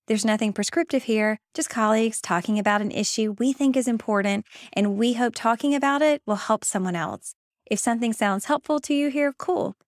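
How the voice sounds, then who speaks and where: Woman, podcast host, higher voice, dry close mic, measured reading. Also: no echo. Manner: clear, measured reading, laughs easily in chat. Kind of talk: scripted intro then casual interview chat.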